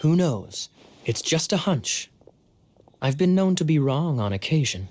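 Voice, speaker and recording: A man's voice: on the high side, sing-song, measured pace, darkish tone, slight background noise. An actor playing a suave, sly young captain in a video game, self-assured and coy in his delivery. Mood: sly, confident. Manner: smooth, coy, insinuating. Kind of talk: video game character acting.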